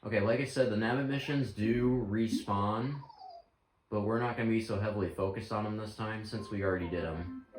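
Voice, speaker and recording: A man giving casual, rambling game commentary while losing his voice, often correcting himself mid-sentence. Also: on the low side. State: losing his voice, clearing throat. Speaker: gaming channel host. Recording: echoey room with game music. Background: game music.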